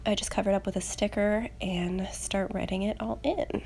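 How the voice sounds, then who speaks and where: A young woman with a medium-pitched voice full of vocal fry and a slight rasp left over from a cold. She narrates casually in a chatty craft voice-over style, poking a little fun at herself. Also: steady tone, normal speed, darkish tone, slight background noise. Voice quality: slightly raspy. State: getting over a rough cold. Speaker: planner channel host.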